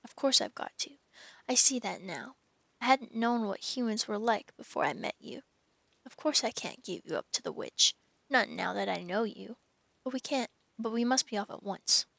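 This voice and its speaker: Timid male faun, voiced by a woman, fretful, earnest, guilt-ridden, storybook reading, creaky, close dry sound. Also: on the high side, lively intonation, normal speed, a bit bright, no room sound, full character voice. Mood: resigned, earnest.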